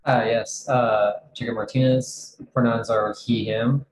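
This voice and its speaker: A young man from Texas on a video call, relaxed and joking as he introduces himself. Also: mid-range voice, unhurried. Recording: roomy video call audio.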